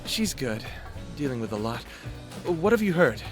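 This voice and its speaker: A man's voice: mid-range voice, very animated, normal speed, music in the background, close to an everyday voice. A man playing an earnest, level-headed male werewolf in an audio drama, speaking in a straightforward way. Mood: earnest, serious.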